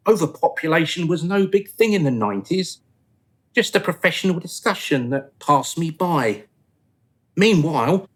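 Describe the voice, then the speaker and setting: A man playing an anxious, pleading dead man in a radio play, excitable and flustered. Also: fairly high pitch.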